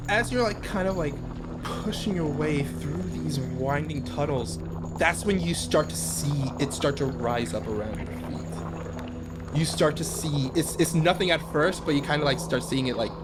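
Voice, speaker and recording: A man improvising tabletop game descriptions in halting, conversational talk. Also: fairly high pitch. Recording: loud music behind the voice. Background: loud music.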